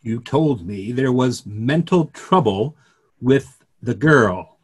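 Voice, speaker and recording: A middle-aged man playing an earnest, gently ironic clergyman in a play reading, wordy and rhetorical. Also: medium pitch, lively intonation, slow, slightly rough. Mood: ironic, arguing. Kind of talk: remote script reading of a stage play. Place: small room at home, video call.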